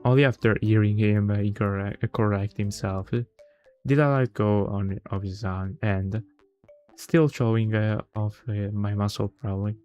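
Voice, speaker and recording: A man's voice: on the low side, steady tone, measured pace, darkish tone, smooth, highs cut off, faint music behind. A man livestreaming a visual novel read-aloud in a casual, chatty way, often stumbling over words and correcting himself.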